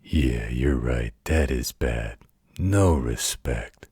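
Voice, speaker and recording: A man voicing a gruff, protective werewolf with a deep, gravelly, husky voice, telling a bedtime story in an intimate, folksy, gently wry way. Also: animated, extremely slow, dry recording, clean studio sound, exaggerated voice. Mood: tender, teasing.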